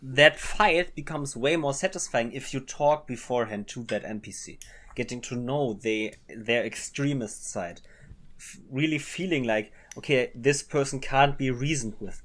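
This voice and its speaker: A man's voice: mid-range voice, normal speed, a bit bright. A man whose first language is German, co-hosting a casual tabletop-gaming podcast in a playful, chatty way full of restarts. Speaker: podcast co-host. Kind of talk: casual chat. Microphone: webcam setup, home recording.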